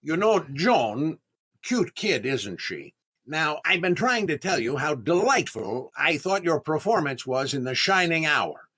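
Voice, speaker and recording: An actor playing a vain, eager, easily flustered comedian in 1930s radio comedy style, with drawn-out exclamations and stammering. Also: male voice, fairly high pitch, big pitch swings, pushed and bright, breathy edge, heavily acted. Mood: flustered, wooing.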